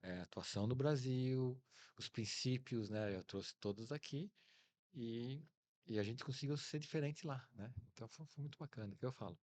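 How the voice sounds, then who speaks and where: A man's voice: medium pitch, normal speed, darkish tone. Brazilian man telling stories as an interview guest. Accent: Brazilian Portuguese. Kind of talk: casual anecdotal interview talk.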